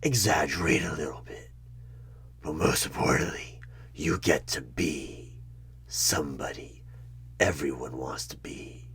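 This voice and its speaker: A man voicing a sly, coaxing demon recruiter in a husky whisper for a horror ASMR audio roleplay. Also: very deep voice, a bit bright, exaggerated voice. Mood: seductive, coaxing. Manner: slow, drawn-out, insinuating, persuasive.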